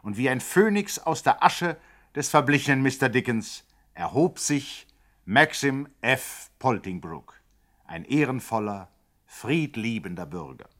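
A man's voice: medium pitch, sing-song, a little slow, fairly bright tone. A man narrating a 1960s radio play in a grand, mock-solemn way.

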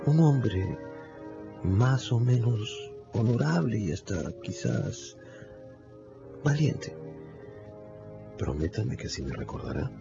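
A dissolute, lovesick gentleman in a radio drama, very low and slow, halting yet fervent. His gravelly voice swings widely in pitch. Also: big pitch swings, exaggerated voice. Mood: anguished devotion. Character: dissolute, self-loathing gentleman hopelessly in love. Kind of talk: Spanish-language radio drama acting. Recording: dull lo-fi audio under loud music.

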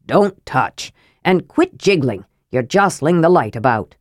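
A woman voicing a grumpy old male dwarf warrior in a fantasy audiobook, with a gruff and dour manner. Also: deep voice, very animated, a little slow, no echo, clean studio sound. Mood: irritated.

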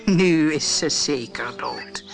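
A woman's voice: sits low, slow pace, creaky, faint music behind, full character voice. A woman voicing a vain, sly evil queen who poses as an old peddler, with false coaxing and gloating.

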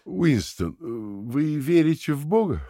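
An actor playing a cruel, domineering party interrogator who lectures theatrically, swinging from hushed to emphatic, in an audio drama. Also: male voice, fairly high pitch, very animated, unhurried, no echo, clean studio sound. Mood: quietly contemptuous. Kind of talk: dramatic audio play dialogue.

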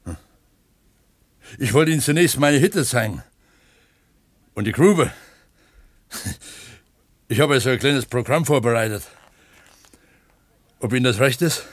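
An actor playing an eager, earnest pump attendant at an opencast mine in a naturalistic radio drama, explaining things like a tour guide. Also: male voice, medium pitch, expressive, measured pace, pauses often, no echo. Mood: eager, considerate.

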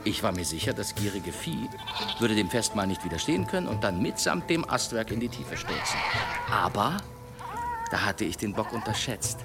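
An actor playing a boastful, theatrical demon hunter who spins tall tales with grand relish in a German historical audio drama. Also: male voice, on the low side, sing-song, normal speed, loud music behind the voice. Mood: suspenseful, boastful.

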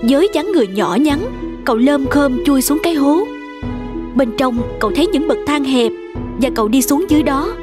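A woman's voice: high voice, lively intonation, normal speed, over music. A woman storyteller reading a scripted fairy tale for children.

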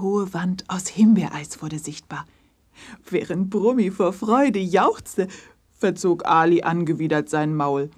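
A woman narrating a scripted German children's story in a measured storytelling manner. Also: lowish voice, darkish tone, slightly rough.

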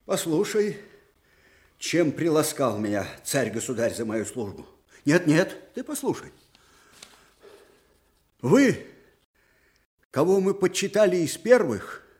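An old Russian field marshal in a radio play. His voice sits fairly high and swings widely. He is proud, fiery and sardonic, with emphatic, theatrical repetition. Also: very animated, takes it slowly, pauses often, a little mellow. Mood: bitter, wounded. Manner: theatrical, emphatic, with repeated phrases. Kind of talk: Soviet-era radio drama acting.

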